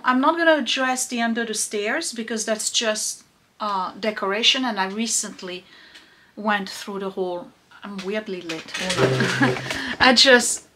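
A woman's voice: mid-range voice, fairly bright tone. A middle-aged woman vlogging as she declutters her basement, chatty and self-mocking as she counts items aloud to the camera. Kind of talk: casual decluttering vlog. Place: cluttered home basement room.

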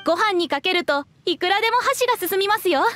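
An actress playing a courteous young waitress explaining things politely in an anime game. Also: female voice, very high voice, expressive, fast, a bit bright. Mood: polite, proud.

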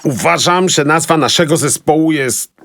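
High-pitched grumbling schoolboy. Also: male voice, moderate pace, creaky, a bit bright, no room sound, studio quality. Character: grumbling, pessimistic schoolboy in a band of friends. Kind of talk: children's audio drama acting.

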